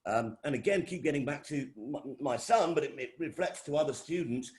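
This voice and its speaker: A man's voice: on the high side, a little fast. A middle-aged man with an English accent giving an informal welcome talk as a college lecturer, often repeating phrases and restarting his sentences. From England.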